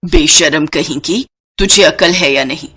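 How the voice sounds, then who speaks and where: A woman's voice: deep voice, a little slow, a bit bright, slightly rough, heavily acted. A woman as a scolding older mother-in-law. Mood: bossy scolding. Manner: scolding, taunting. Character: stern, scolding older Indian mother-in-law, scornful and bossy.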